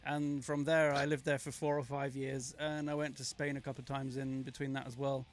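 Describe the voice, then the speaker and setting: A man's voice: medium pitch, flat delivery, a little fast. A man from the south of England with a mixed, middle-class English accent, telling stories in a chatty livestream conversation and laughing easily. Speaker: co-host. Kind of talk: casual chat on a livestream.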